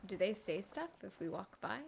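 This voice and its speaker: A woman's voice: medium pitch, animated, moderate pace, vocal fry, noisy background. A woman streaming a game, giving casual live commentary with playful, wry asides.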